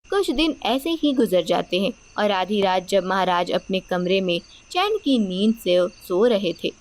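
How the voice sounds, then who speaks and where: A woman reading scripted storytelling narration as the narrator of a tale. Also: mid-range voice. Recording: loud music bed behind voice.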